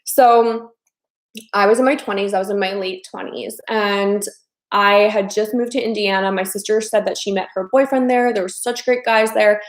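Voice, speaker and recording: A woman's voice: mid-range voice, fairly even intonation, normal speed, echoey room. A chatty woman in her thirties from the American Midwest, hosting a casual live stream as a dating coach and often repeating her phrases. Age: 30s.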